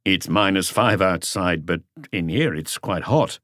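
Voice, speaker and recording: Male reader as a police inspector, low pitch, patient, probing, dry close sound, audiobook. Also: measured pace, a bit bright, no echo. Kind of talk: audiobook character voice.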